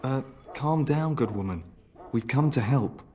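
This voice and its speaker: An actor playing an earnest, eager and courteous young knight in a fantasy video game. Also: male voice, mid-range voice, lively intonation, a little slow, darkish tone, natural voice. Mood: placating. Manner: polite, boyishly earnest.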